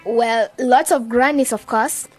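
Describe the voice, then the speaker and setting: A young woman, with a high-pitched voice and a brisk manner. She is a bossy, sharp-tongued radio presenter in an audio drama. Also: soft background music. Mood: mocking. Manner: brisk, no-nonsense, mocking.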